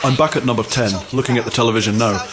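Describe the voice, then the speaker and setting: An actor playing a harried male prime minister briskly giving orders over calls, in an audio drama. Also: medium pitch, steady tone, heavy background noise, close to an everyday voice.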